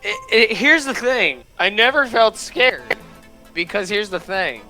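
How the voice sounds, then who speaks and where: A man's voice: high-pitched, expressive, a little slow, bright, forceful tone, soft background music. A man hosting a reaction video with casual, informal commentary.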